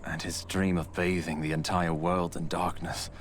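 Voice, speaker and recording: An actor playing a grave, knowing guardian in a fantasy game, speaking in a measured, portentous way. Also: male voice, low voice, flat delivery, slight background noise, natural voice. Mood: ominous. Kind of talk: video game voice acting. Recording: faint music behind.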